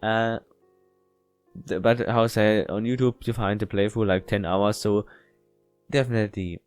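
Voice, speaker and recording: Male let's player, lower register, stumbling casual read-aloud over light plucked guitar music. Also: steady tone, smooth, dry recording. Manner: halting delivery, stumbles and repeats words while reading. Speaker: video game let's player. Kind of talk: reading a visual novel aloud with casual commentary. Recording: music behind the voice.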